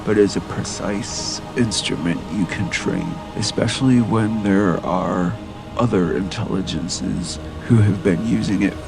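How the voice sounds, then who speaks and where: A man giving a scripted motivational narration as a presenter, in an earnest, measured manner. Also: lowish voice, creaky, rough recording. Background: music.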